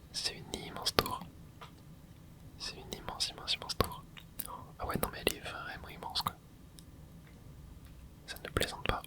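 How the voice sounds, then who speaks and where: Whispering young man playing a game. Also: very high voice. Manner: soft whispering, with excited asides to viewers. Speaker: gaming ASMR creator. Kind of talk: whispered ASMR gameplay commentary.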